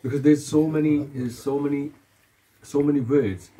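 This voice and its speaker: Earnest man, dark mellow voice. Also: fairly high pitch, fairly even intonation, normal speed, rough recording. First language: Urdu. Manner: earnest, repeats phrases, asks "you understand me?". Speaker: religious elder giving advice. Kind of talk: casual chat in a gathering. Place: carpeted room in a house, seated on the floor.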